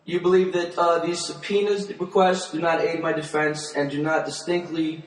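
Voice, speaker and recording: A man playing an argumentative, pedantic defendant who presses questions in halting, wordy legal phrasing. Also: fairly high pitch, level tone, lightly acted. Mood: pressing. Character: argumentative, pedantic male defendant insisting he is sane. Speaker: client defendant arguing with his lawyer. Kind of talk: confrontational legal questioning in conversation. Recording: distant mic in a reverberant, echoey room.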